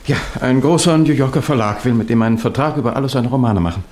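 A man's voice: medium pitch, fast, darkish tone, natural voice. An actor playing a self-important, obsequious literary agent, unctuous and hesitant in his answers, in 1960s radio play style. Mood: smug, servile.

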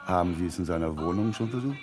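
A man's voice: low voice, fast, darkish tone, soft background music, lightly acted. A man playing an evasive, slangy gossip being questioned in a radio crime drama, with an offhand manner.

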